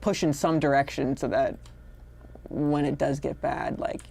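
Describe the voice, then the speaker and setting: Low, creaky-voiced woman, chatting candidly. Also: steady tone, measured pace, a little mellow. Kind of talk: casual conversation, personal reflection.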